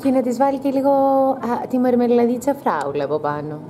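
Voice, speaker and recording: A woman's voice: fairly high pitch, sing-song, mellow, slight background noise. A young woman chatting playfully in a casual travel vlog. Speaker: vlogger.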